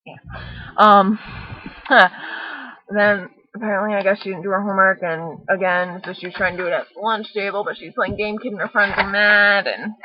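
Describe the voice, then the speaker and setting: A woman with a slightly husky voice casually reading a picture book aloud, rambling into playful, mock-dramatic chatty asides on a laptop microphone. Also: mid-range voice, fairly even intonation, normal speed, no echo. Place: at home, close to a laptop on a bed. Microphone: laptop webcam microphone. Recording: muffled lo-fi laptop webcam audio.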